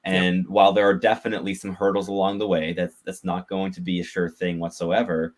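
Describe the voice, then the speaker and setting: A man co-hosting a sports podcast, giving measured, thoughtful analysis with occasional restarts. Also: deep voice, level tone. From Canada. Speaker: podcast co-host.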